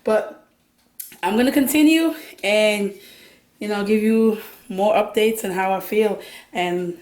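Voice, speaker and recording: A woman with a hoarse, worn voice and a Jamaican accent, chatting casually as a vlog host and laughing now and then. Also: mid-range voice, reverberant. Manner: laughs often, relaxed chatty talk. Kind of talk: casual chat about books and cooking.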